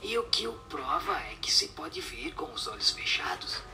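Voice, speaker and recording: An actor voicing a solemn spirit that gives measured, instructive answers in a breathy whisper in a radio drama. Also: medium pitch, very animated, bright, forceful tone, recorded from a distance, soft background music, exaggerated voice.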